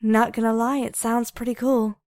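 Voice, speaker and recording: A blunt teen girl, played by a woman in an audio drama. She is assertive and confident, with a fairly high voice. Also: flat delivery, moderate pace, darkish tone, natural voice. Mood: wry. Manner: plain-spoken, assertive.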